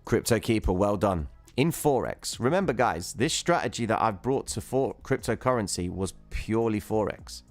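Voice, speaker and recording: A man's voice: fairly low pitch, expressive, moderate pace, few pauses, no echo, faint music behind. A man hosting a livestream, casually explaining chart trading and chatting with viewers who comment. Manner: chatty, reads viewer chat, calls viewers guys and bro.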